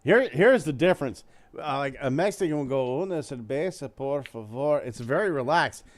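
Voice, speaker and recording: Grumbling middle-aged podcast host. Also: male voice, a little high, moderate pace. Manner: grumbling, profane, animated storyteller. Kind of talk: casual podcast chat.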